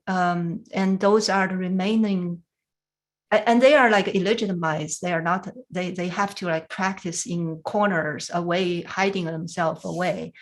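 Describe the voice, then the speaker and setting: A middle-aged woman from China with a Chinese accent, sharing a reflective personal story in a soft, halting way with many restarts. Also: on the low side. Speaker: panel speaker.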